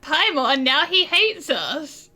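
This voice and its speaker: A bright, teasing young traveler. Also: female voice, sits high, very animated, takes it slowly, exaggerated voice. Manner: teasing, giggly. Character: playful teasing young traveler, easily amused. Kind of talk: video game character voice-over.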